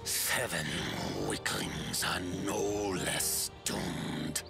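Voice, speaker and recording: A very slow, gravelly giant. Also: male voice, sits low, exaggerated voice. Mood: stern, forbidding. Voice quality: gravelly, growling. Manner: slow, halting, imperious delivery. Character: huge proud stern giant guarding sacred ground. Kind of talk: video game cutscene acting. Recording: music behind the voice.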